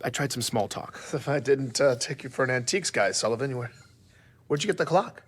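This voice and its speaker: Uneasy reporter, audio drama, medium-pitched voice, natural understated delivery. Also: male voice, expressive, breathy edge. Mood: nervous, hesitant. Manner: measured narration, hesitant in dialogue. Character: nervous male investigative journalist narrating his own story. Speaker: narrator. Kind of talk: scripted first-person audio drama narration.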